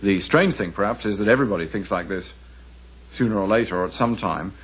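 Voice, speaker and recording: Man, English accent, lowish husky voice, deliberate halting delivery, scholarly interview commentary. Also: poor audio. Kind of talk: unscripted documentary interview commentary.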